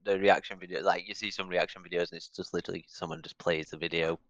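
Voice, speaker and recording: A man's voice: sits low, flat delivery, fast, a bit bright, no room sound, lo-fi sound. A man hosting a podcast, talking easily and trailing off with fillers. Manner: easygoing, trailing off, many fillers. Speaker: podcast host. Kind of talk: casual podcast chat.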